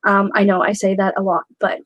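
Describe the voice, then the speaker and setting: A young woman hosting a live question-and-answer chat, talking candidly with many pauses and fillers, a little snuffly from a flu. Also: medium pitch, monotone, no echo. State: snuffly, getting over a flu. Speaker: host of a live question stream.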